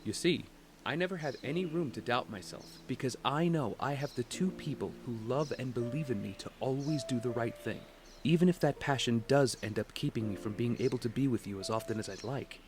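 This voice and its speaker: An actor playing a loving, proud father in an audio drama, speaking gently and reassuringly with affection. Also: medium pitch, brisk, background noise, lightly acted. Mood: tender, reassuring.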